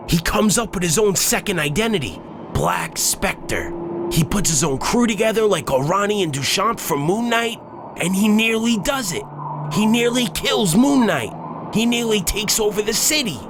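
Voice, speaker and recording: A man's voice: high voice, lively intonation, moderate pace, background noise. A man playing a bitter, grandiose cop turned masked villain in a comic dub, scornful and menacing. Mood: scornful, boastful. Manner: scornful, menacing, self-aggrandizing. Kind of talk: dramatic comic dub acting. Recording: music behind the voice.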